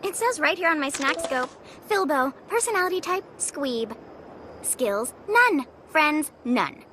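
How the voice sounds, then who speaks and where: High, bright, sassy valley-girl creature. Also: very animated, a little slow, a little hiss or hum, full character voice. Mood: mocking. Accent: American. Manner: sassy valley-girl drawl, exaggerated slang. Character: gossipy, snarky teenage valley-girl creature, bossy know-it-all. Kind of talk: cartoonish video game character acting.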